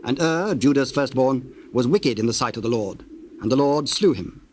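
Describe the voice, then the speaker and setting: A man's voice: mid-range voice, expressive, normal speed, a little mellow. A man narrating a dramatized scripture reading in a solemn, storytelling style. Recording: hissy old cassette tape, birdsong behind.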